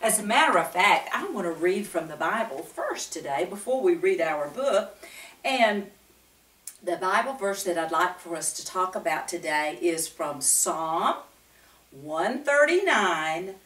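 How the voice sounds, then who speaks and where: A woman's voice: lowish voice, lively intonation, fairly bright tone. Older woman, lilting children's storyteller, roomy sound. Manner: asks the listeners questions and pauses between phrases. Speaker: host of a children's Bible story show. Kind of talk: gentle children's story time, speaking directly to young listeners. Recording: roomy, echoing, distant microphone.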